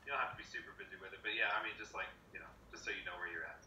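Relaxed man giving audition directions. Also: sits low, racing. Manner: relaxed, easygoing, with many fillers. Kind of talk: casual instructions over a video call. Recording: far-off, roomy, noisy laptop audio.

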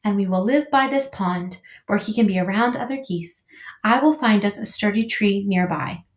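A woman voicing a calm, polite female robot who speaks plainly and formally. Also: medium pitch, moderate pace, close to an everyday voice. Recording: roomy echo.

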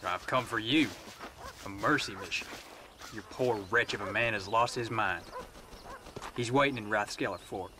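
An actor playing a weary frontier gunslinger, dry, sardonic and clipped, in a video game cutscene. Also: male voice, fairly low pitch, moderate pace, pauses often, natural voice. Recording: game audio with outdoor ambience noise.

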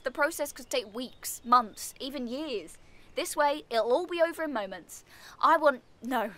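A woman's voice: a little high, pushed and bright. A woman playing an exasperated, dramatic character in a radio comedy play, earnest and emphatic. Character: exasperated, dramatic woman determined on fresh start.

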